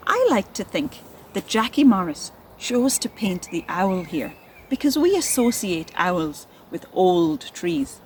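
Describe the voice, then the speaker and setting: A woman presenting and reading poetry for children in an expressive, deliberate, encouraging way. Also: on the low side, a little hiss or hum. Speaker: presenter and poem reader.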